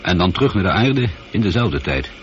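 Low-voiced, wry astronaut. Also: male voice, sing-song, brisk. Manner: wry, musing. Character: male lone astronaut, wry, slightly uneasy. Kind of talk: radio drama acting. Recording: dull, slightly noisy old radio recording.

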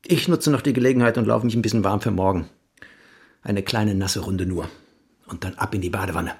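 An actor playing a casual, dismissive writer husband in an audio drama, with a laconic, offhand way of talking. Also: male voice, on the low side, brisk, a little mellow, slightly rough. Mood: soothing. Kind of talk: German audio drama acting.

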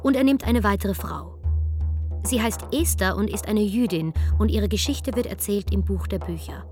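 A woman narrating a German radio drama as a scripted story, in a measured storytelling manner. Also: mid-range voice, close to an everyday voice. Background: music.

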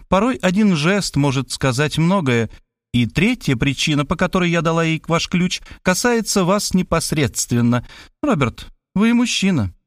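Audiobook figure: confident lady detective-writer, condescending and lecturing, voiced by a male reader, high and animated. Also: dry recording, clean studio sound. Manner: patronizing, matter-of-fact lecturing. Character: self-assured woman writer turned sleuth, lecturing.

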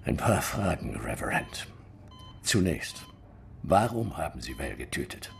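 An actor playing a probing, insistent police detective with a rough, husky voice in a radio crime drama. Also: male voice, extremely low pitch, very animated, measured pace, a little hiss or hum, heavily acted.

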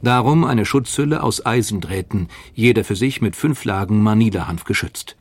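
A man reading a scripted documentary narration in a measured, factual way. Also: on the low side, expressive, breathy edge, no room sound.

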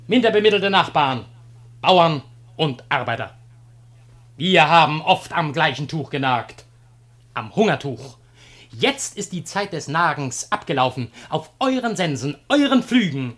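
A man's voice: on the high side, sing-song, unhurried, a bit bright, heavily acted. A man playing a cheeky, glib slave of ancient Greece, declaiming with mockery in a German classical comedy radio play. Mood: proclaiming. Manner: declamatory, mocking.

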